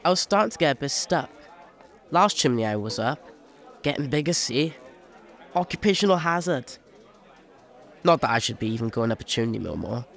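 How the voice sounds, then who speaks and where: An actor playing a cheeky, boastful young chimney sweep in an audio drama. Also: male voice, medium pitch, big pitch swings, normal speed, vocal fry, long pauses, a bit bright, some chatter behind.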